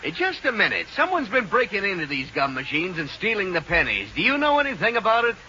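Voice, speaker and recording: Officious policeman, high voice, sarcastic bluster, muffled vintage radio comedy. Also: wildly swooping pitch, quick pace, pushed and bright, full character voice. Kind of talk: 1940s radio comedy acting. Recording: muffled noisy old radio recording.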